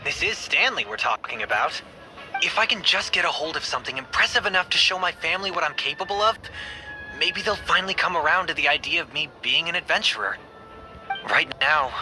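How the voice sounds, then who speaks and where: A man's voice: on the high side, lightly acted, poor audio. A man voicing an eager young male rookie adventurer in a video game, earnest and slightly sheepish. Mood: hopeful, pleading. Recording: music under the voice.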